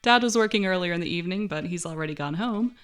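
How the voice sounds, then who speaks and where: A woman performing dramatic first-person narration in an audio drama, expressive and breathy at tense moments, as a frightened young woman telling an eerie story. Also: fairly low pitch, quick pace, soft background music. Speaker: voice actor narrating a short story.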